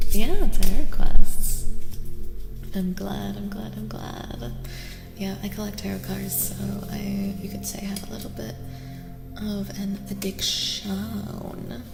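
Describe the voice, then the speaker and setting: Soft-spoken woman in an echoey, reverberant space. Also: medium pitch, vocal fry. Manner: gentle, drifting between soft voice and whisper, often repeating phrases. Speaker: live streamer reading tarot cards. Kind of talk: soft-spoken ASMR with casual livestream chat. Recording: reverberant echo with music behind. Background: music.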